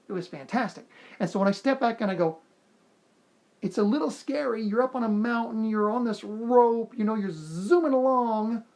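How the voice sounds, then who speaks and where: An earnest man speaks straight to his viewers with motivational advice. He encourages them warmly and repeats his key lines to drive them home. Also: high-pitched. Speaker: motivational video host. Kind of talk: motivational self-help talk to camera.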